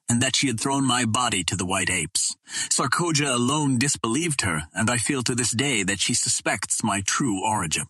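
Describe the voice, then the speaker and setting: Man, audiobook narrator, measured storytelling, husky voice, dry close recording. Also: fairly low pitch, bright, forceful tone, no room sound.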